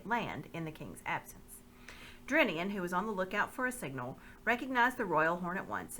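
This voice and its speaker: A woman reading a children's novel aloud with expressive, measured narration. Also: lowish voice, slight background noise. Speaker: reader.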